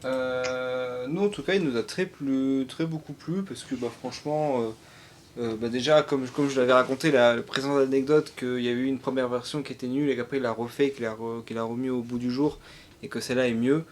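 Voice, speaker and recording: A man's voice: medium pitch, steady tone, fairly quick. A man co-hosting a casual video review, talking in a hesitant, rambling way. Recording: faint background noise.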